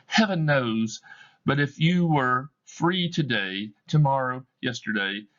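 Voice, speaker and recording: A man's voice: lowish voice, fairly bright tone, muffled recording, voice pushed up. A man voicing a grieving young woman who speaks to her former love with bitterness and reproach.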